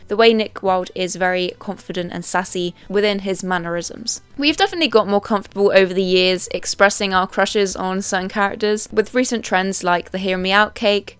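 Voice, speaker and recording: A woman's voice: medium pitch, fairly bright tone, smooth, no echo. A young adult woman hosting a video essay, reading scripted commentary with wry, conversational asides.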